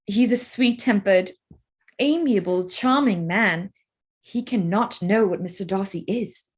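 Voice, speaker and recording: A woman playing a candid, spirited young gentlewoman in a staged period drama reading, forthright and earnest. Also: mid-range voice, unhurried, slightly rough. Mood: earnest, curious.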